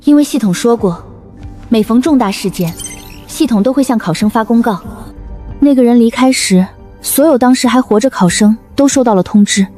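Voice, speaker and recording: A woman playing a composed, persuasive young survivor in an animated drama, explaining things in a measured, earnest way. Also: on the high side, soft background music, lightly acted. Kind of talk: scripted animated drama acting.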